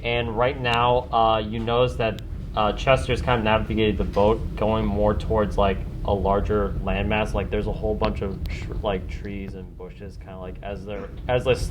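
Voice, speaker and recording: A man acting as game master, narrating a tabletop adventure in an informal, conversational way as he searches for words. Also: fairly low pitch. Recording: noisy home group recording.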